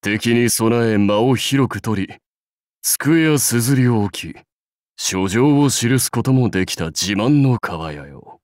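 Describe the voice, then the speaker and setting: Slow, stately samurai warlord. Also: male voice, mid-range voice, animated, a little mellow, no echo, clean studio sound. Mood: wry, playful. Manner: stately, measured, archaic lordly speech. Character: proud, seasoned male samurai warlord, wry and commanding. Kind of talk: scripted game character lines.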